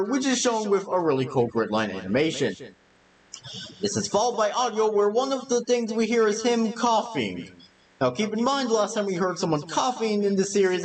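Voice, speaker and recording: A man's voice: high-pitched, far from the mic, poor audio. A man reading scripted narration for a video essay.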